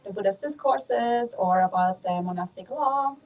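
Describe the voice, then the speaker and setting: A woman from Germany with a German accent, a Buddhist nun giving a calm, measured dharma talk at a monastery, pausing often with ums. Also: medium pitch, low-quality recording.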